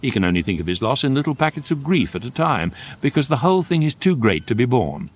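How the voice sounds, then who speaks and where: An actor playing the wry, urbane, measured narrator of a guidebook in a radio comedy, with a British accent. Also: male voice, medium pitch, very animated.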